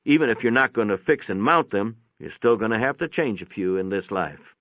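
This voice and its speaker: Low-voiced male storyteller, tinny echoey recording. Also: animated, brisk. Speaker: narrator. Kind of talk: dramatic reading of a first-person short story. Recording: boxy room echo, tinny phone-like sound.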